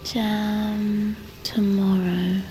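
Quietly unsettling woman lover, slow, gentle, measured, audio drama, music underneath. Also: mid-range voice, little pitch movement, low-quality recording. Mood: eerily tender. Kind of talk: audio fiction acting.

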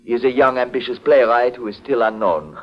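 An actor playing a polite, anxious foreign exporter in a 1940s radio detective drama, speaking formally and hesitantly. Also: male voice, medium pitch, fairly quick, natural voice. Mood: hesitant, pleading. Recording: dull old 1940s radio broadcast.

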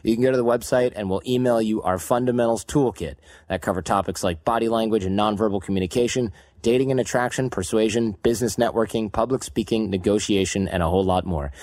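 Male podcast host, lowish voice, dry close sound, upbeat promo read. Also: fast talker, hardly any pauses, darkish tone, dry recording. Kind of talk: scripted promo read and interview questions.

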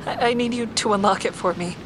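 A woman's voice: fairly high pitch, fast, natural voice. An actress playing a distraught, emotionally fragile mother pleading for help in an audio drama. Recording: clear outdoor traffic ambience.

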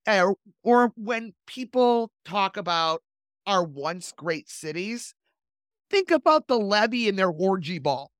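Lively, swooping woman hosting a chat. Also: medium pitch, slow pace, dry recording, clean studio sound. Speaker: podcast host. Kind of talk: casual, digressive history chat.

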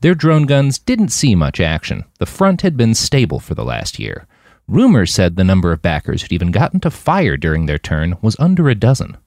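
A man reading scripted fiction narration in an expressive, dramatic storytelling manner. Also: low voice, moderate pace, dry recording, studio quality. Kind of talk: scripted audiobook-style narration of a dystopian war novel.

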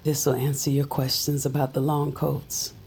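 A cool cryptic guide, really deep for a lady, terse and low key, bit of music under. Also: little pitch movement, measured pace, a little mellow. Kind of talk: scripted audio drama dialogue.

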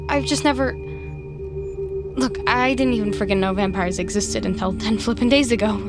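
A woman's voice: medium pitch, moderate pace, loud music behind the voice, natural voice. A woman playing an awkward, sardonic, self-deprecating human sidekick in an audio drama, rambling and easily flustered. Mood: curious, flustered. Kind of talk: naturalistic audio drama acting.

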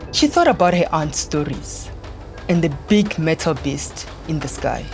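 Low-voiced woman telling a children's story. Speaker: podcast narrator. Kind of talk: scripted children's storytelling narration. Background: music.

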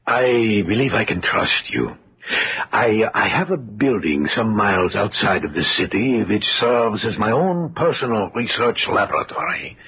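Elderly scientist, raspy low voice, animated, formal hesitant phrasing, intense, dull vintage radio recording. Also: male voice, exaggerated voice. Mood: frank, intent. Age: older. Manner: formal, deliberate, hesitant filler-laden phrasing. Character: elderly, exacting scientist and father, courteous but intense. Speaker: radio actor. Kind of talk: old-time radio drama acting.

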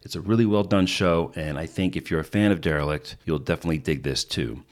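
A man's voice: deep voice, quick pace. A man hosting a podcast, giving a casual spoken introduction. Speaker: podcast host.

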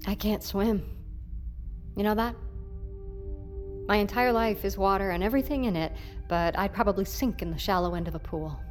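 A woman's voice: mid-range voice, moderate pace, long pauses, faint music behind, lightly acted. An actress playing an anxious, stubborn woman scientist in a naturalistic audio drama, argumentative and distrustful. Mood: confessional, wary.

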